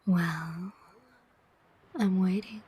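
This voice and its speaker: Woman playing a slow, whispering, taunting demoness. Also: fairly low pitch, fairly even intonation, mellow, full character voice. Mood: smug. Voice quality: breathy whisper. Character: taunting, cunning female demon collector of souls. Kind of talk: whispered ASMR roleplay.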